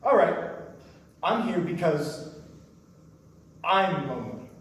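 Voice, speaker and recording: A man playing a mysterious, lonely, flirtatious stranger in a staged reading of a play, teasing and measured in delivery. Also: on the high side, sing-song. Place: large echoey room, recorded from far off. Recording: distant, very echoey room with faint noise.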